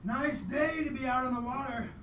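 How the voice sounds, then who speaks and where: A woman's voice: high voice, moderate pace, natural voice. A woman playing a glib, defensive man who shoots crocodiles, in a live radio play. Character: brash, dismissive crocodile-shooting man, expectant father, Leonard. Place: live performance venue, recorded from off. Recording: distant, echoey live stage recording, loud noise.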